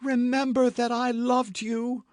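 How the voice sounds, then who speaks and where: A man voicing a desperate condemned young woman who shrieks defiance and pleads for her life, high and strained. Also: unhurried, no room sound, clean studio sound, lightly acted. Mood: pleading. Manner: shrill, cries out in high strained pleas.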